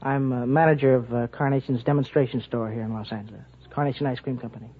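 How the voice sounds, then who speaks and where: A man's voice: medium pitch, fairly even intonation, fast. A man in his twenties from Oklahoma, giving polite answers as a radio quiz contestant. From Oklahoma, USA. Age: 20s. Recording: dull old radio broadcast, faint noise.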